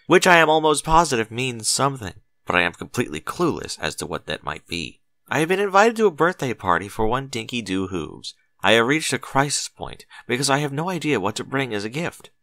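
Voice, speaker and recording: A man performs a fretful unicorn gentleman from a storybook, stiff and precise in his wording. The pitch swings widely and the tone is bright and tense, in a dry recording. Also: dry recording, full character voice. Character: anxious, stiffly formal male unicorn letter-writer. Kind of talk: storybook character reading a letter.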